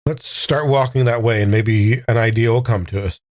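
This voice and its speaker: A man's voice: mid-range voice, moderate pace, darkish tone, dry recording. A man casually playing a tabletop roleplaying game, thinking aloud in a tentative way.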